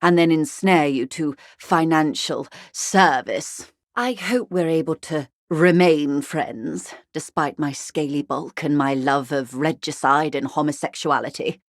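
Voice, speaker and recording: A woman playing a wry, urbane, bourgeois negotiator in a video game, speaking in a measured, genteel, faintly ironic way. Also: sits low, no echo, studio quality. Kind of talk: video game character dialogue.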